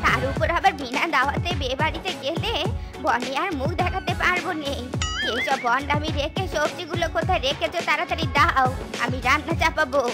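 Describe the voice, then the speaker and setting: A woman's voice: high-pitched, fairly quick, over loud music, lightly acted. A woman voicing a hot-tempered, nagging animal wife in a comic cartoon, scolding and demanding. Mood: angry.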